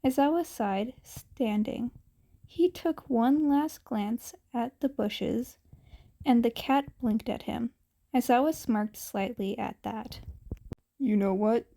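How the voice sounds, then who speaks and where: High-pitched woman narrating a story. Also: takes it slowly, gravelly, mellow. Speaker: fan fiction narrator.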